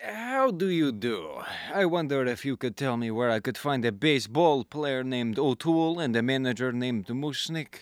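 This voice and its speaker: A man's voice: mid-range voice, expressive, moderate pace, few pauses, natural voice. A man acting in a comedic radio play as a polite but impatient pursuer, speaking formally and insistently. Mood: polite, probing. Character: polite, impatient pursuer hunting a missing ballplayer. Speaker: student radio actor.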